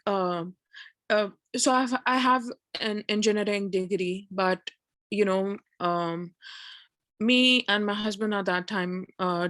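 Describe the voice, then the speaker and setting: A woman's voice: medium pitch, no echo. A woman with a Pakistani accent speaks in a medium register with a dry sound. Her delivery is informal and hesitant, rambling a little as she shares a comment with the group. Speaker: PhD student and game developer, event participant.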